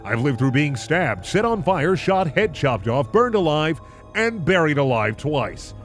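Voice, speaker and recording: A man voicing a weary, smug slasher killer narrating his own murders in a deadpan, dramatic way. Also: fairly high pitch, unhurried, soft background music.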